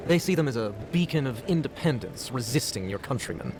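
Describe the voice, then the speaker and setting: A man playing a gruff, wary local guard in a video game, giving blunt, colloquial cautions. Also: medium pitch, animated, fairly quick, noisy background, lightly acted. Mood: wary warning. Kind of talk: video game character dialogue. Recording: game audio with music behind.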